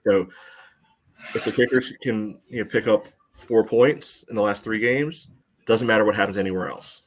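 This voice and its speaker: A man's voice: lowish voice, normal speed. A man co-hosting a soccer podcast, talking through analysis in a casual, dry, wry way. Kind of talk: casual sports analysis chat.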